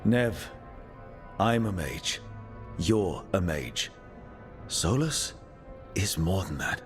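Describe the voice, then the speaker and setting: An actor playing an earnest, steady mage who leads a team in a fantasy video game, speaking in a measured, serious way. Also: male voice, low-pitched, soft background music, heavily acted. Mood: grave. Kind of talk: cinematic video game dialogue.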